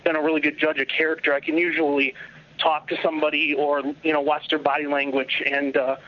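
A man's voice: a little high, flat delivery, brisk, no echo, muffled recording. A man from Philadelphia, a first-time caller to a radio show, talking earnestly and at length. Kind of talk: phone call-in.

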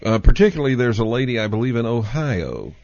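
A man's voice: mid-range voice, big pitch swings, fast talker, creaky, darkish tone, dry recording, dull audio. Texan man hosting a talk show. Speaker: host. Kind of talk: casual chat on a call-in show.

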